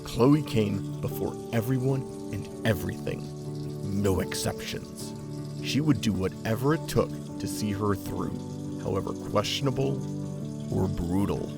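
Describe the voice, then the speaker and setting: A man narrating a story in a measured, reflective way. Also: lowish voice, gravelly. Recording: loud music bed under voice.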